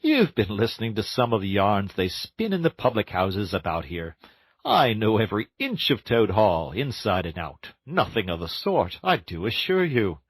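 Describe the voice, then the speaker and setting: A man voicing an excitable, boastful male toad in a storybook reading, high and loud. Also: moderate pace, slightly rough, dry recording. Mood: airy.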